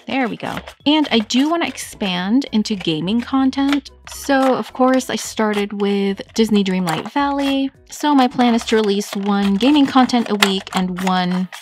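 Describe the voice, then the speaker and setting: A woman's voice: medium pitch, a little hiss or hum. A young woman chatting casually as she answers viewer questions for her toy-collecting vlog, reading them aloud and laughing at herself. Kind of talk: casual Q&A chat.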